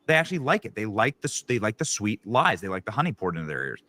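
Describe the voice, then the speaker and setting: A man's voice: on the low side, very animated, quick pace, bright, forceful tone. A man giving blunt, mocking political opinion commentary.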